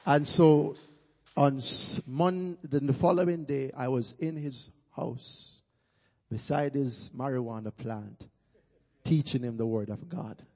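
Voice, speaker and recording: A man's voice: medium pitch, takes it slowly. A man, a pastor, giving an emphatic testimony to a church congregation. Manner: emphatic, builds to loud peaks. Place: church sanctuary. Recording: long reverb of a church hall.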